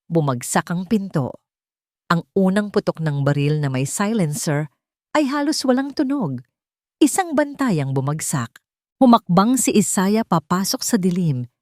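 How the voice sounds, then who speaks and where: Low-pitched woman narrating dramatic fiction. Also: a little mellow, dry recording, studio quality. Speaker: storyteller narrator. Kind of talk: dramatic scripted romance-thriller audio story narration.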